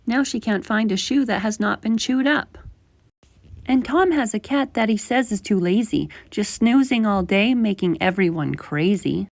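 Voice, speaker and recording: A woman reading a rhyming children's story aloud in an animated, emphatic way as a storytime host. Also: medium pitch, moderate pace, vocal fry, no echo. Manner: animated, emphatic rhymed delivery.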